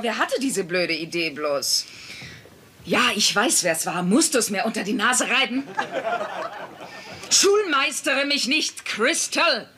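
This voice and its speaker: Casting: nagging, sarcastic middle-aged sitcom wife, volatile and forceful, very animated delivery. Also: female voice, on the high side, slight background noise. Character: bossy, volatile working-class wife and mother. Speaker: voice actor in a German sitcom dub. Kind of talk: sitcom dub acting.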